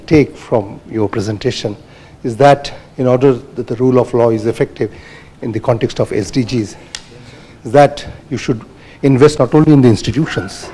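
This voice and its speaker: Older professor with a question, hesitant and academic, mellow husky voice. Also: male voice, mid-range voice.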